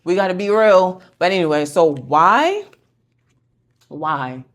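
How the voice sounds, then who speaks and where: A woman's voice: on the low side, expressive, slow pace. A woman hosting a casual tarot reading, talking in a blunt, slangy, conversational way as she spins out scenarios. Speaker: tarot reader and video host. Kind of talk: casual pick-a-card tarot reading to camera.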